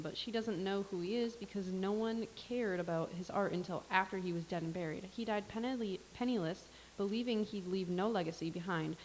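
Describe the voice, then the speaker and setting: A woman's voice: fairly low pitch, darkish tone, close to an everyday voice, low-quality recording. A woman acting in a staged comedy reading as a patient, matter-of-fact time traveler who explains things. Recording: faint hiss and background noise.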